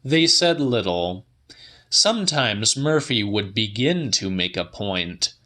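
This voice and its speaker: Male narrator, dramatic literary reading, low-pitched, widely varied intonation, theatrical phrasing. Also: very animated, slow, sharp tone. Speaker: audiobook narrator.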